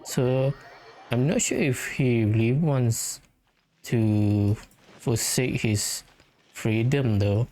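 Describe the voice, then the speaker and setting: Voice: medium pitch, drawn-out words, long pauses, warm tone, a little hiss or hum. A gamer giving casual, hesitant play-through commentary, thinking aloud. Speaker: gamer, let's play host. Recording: game music behind the voice. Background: game music.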